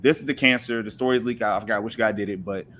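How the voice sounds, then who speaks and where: A man with a slightly low voice recounts sports stories as a podcast guest. He is colloquial and explanatory, checking that others follow. Faint noise runs in the background. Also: quick pace, fairly bright tone. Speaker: podcast guest discussing football. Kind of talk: casual sports chat, explanatory storytelling.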